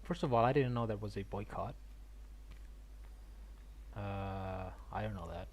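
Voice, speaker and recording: A man live streaming a game and chatting casually, halting and often repeating his words. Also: fairly low pitch. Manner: halting, repetitive. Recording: faint background noise.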